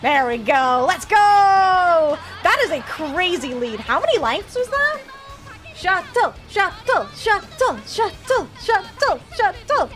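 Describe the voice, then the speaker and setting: Excitable high-voiced woman streamer. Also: takes it slowly, faint music behind. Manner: chatty, excitable, cheers during races. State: losing her voice. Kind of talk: live game stream commentary with chat.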